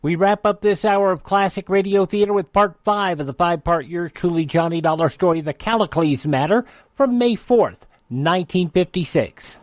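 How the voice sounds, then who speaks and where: A male radio host reads a scripted introduction. His voice is high for a man and recorded very dry. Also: moderate pace, no room sound.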